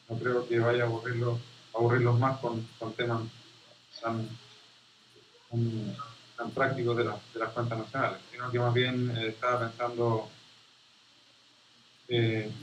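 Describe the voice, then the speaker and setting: Halting Chilean man on a roomy call. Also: mid-range voice, a little hiss or hum. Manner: halting, often restarting phrases. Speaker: statistics specialist giving a guest lecture. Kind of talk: informal lecture over videoconference. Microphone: video call. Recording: roomy, dull video-call audio.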